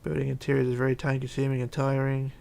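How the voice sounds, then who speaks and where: A man hosting a casual livestream, reading chat aloud and chatting in a rambling, halting way. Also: medium pitch, monotone, gravelly, darkish tone. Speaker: Minecraft livestreamer.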